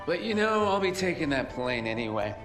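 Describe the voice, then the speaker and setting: An actor playing a smooth-talking, insolent gambler, unruffled and taunting, in a video game cutscene. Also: male voice, on the high side, sing-song, fairly quick, music in the background.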